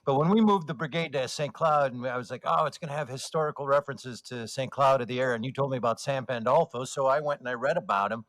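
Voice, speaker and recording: Halting, joking man in casual chat. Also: medium pitch, fairly bright tone, rough recording. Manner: joking, halting with false starts. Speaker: radio theater writer and voice actor, interview guest.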